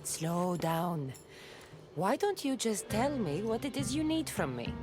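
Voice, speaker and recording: A skeptical, world-weary warrior woman in a video game. She is terse and dry, taking her time with lively swings in intonation over a music bed. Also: medium pitch, a little slow. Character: blunt, skeptical, world-weary adult female warrior heroine. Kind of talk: video game cutscene acting.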